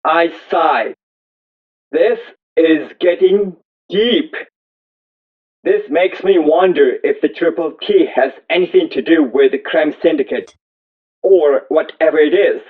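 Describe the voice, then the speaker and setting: A man reading a first-person story aloud as scripted narration, in slow, deliberate, measured phrases. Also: on the high side. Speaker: audiobook reader. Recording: thin, phone-like narrow-band audio.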